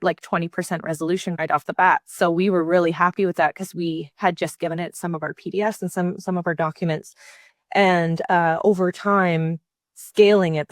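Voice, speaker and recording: A woman's voice: lowish voice, no echo, clean studio sound. A woman speaking as a panel guest, telling her work experience in a candid, enthusiastic and informal way. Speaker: customer support leader, panel guest. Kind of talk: casual unscripted storytelling on a panel.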